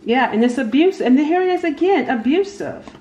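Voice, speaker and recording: A woman giving a casual, unscripted tarot reading, speaking haltingly and repeating her phrases as she goes. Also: sits high, animated, dark tone, muffled recording. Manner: halting, repeats phrases, talks to spirit and cards. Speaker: tarot reader, channel host. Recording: echoey room with faint background noise.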